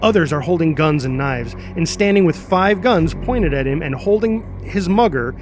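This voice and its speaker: A man's voice: fairly high pitch, lively intonation, normal speed, faint music behind. A man reading scripted action narration as the narrator.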